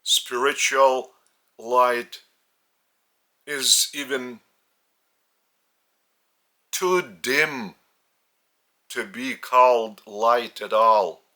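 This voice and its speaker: A man with a Lithuanian accent, a spiritual teacher speaking in short halting phrases with restarts. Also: medium pitch, bright, forceful tone.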